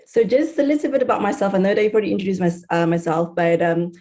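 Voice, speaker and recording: A woman's voice: mid-range voice, fairly even intonation, fast talker, dark tone. A woman in her thirties from Malaysia, giving an online talk as a surgeon. She is tired after a night shift and talks in a friendly way, with frequent ums. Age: 30s. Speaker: guest speaker, surgeon and researcher. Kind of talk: online presentation. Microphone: video call.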